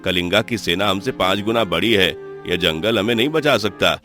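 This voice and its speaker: A man voicing a cautious army general in a cartoon story, speaking gravely and formally. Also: lowish voice, normal speed, bright, forceful tone, faint music behind, voice pushed down. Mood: worried, grave. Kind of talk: Hindi cartoon moral-story voice acting.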